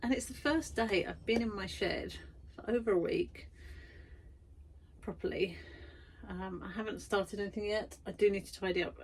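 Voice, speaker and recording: A woman's voice: mid-range voice, normal speed, husky, rough recording. Tired, chatty woman. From United Kingdom. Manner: chatty, self-deprecating, rambling with fillers. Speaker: vlogger and art workshop tutor. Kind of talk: casual chatty vlog. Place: her garden shed art studio.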